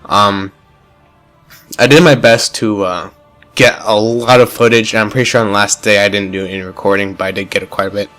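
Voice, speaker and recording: A man's voice: lowish voice, fairly even intonation, brisk, a bit bright, soft background music. Congested, rambling male gamer. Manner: rambling, enthusiastic, many fillers and restarts. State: congested, stuffy nose. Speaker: gaming YouTuber. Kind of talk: casual gameplay commentary.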